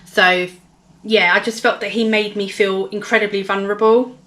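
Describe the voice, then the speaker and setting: Hoarse woman rambling through a vlog. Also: medium pitch, fairly even intonation, moderate pace, bright, forceful tone. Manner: rambling, many fillers and restarts. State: hoarse, gravelly voice. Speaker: vlogger. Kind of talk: casual personal vlog storytelling.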